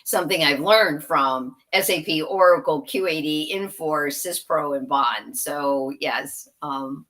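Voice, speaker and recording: A woman's voice: low-pitched, a little slow, hardly any pauses, a bit bright. A woman guest in an interview, chatty and rambling, laughing and trailing into asides. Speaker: guest, software company executive. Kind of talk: conversational interview.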